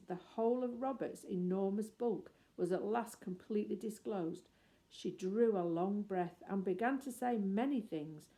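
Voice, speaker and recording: A woman reading a children's story aloud as expressive scripted narration. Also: mid-range voice. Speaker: audiobook reader.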